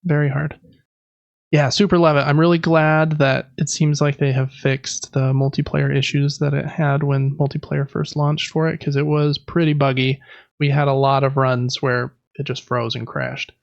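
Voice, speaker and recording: A man's voice: mid-range voice, flat delivery, moderate pace, vocal fry, dry recording, dull audio. A man co-hosting a gaming podcast, chatting casually in a rambling, enthusiastic, informal way. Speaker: podcast co-host.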